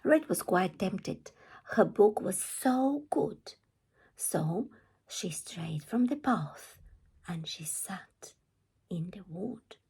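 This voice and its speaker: A woman reading a rhyming children's storybook aloud as an expressive storytime narrator. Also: mid-range voice, drawn-out words, husky. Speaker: storytime reader.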